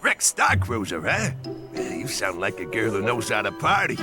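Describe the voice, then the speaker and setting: An actor playing a sleazy, flirtatious space cab driver, brash and cocky. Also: male voice, fairly low pitch, big pitch swings, normal speed. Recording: recorded call, loud music behind.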